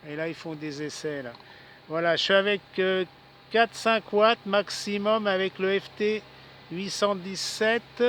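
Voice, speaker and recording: A high-pitched male voice in a casual ham radio exchange. He is chatty and repeats himself often, recorded outdoors with faint noise around him. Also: unhurried, a bit bright. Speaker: amateur radio operator. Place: outdoors in a city park.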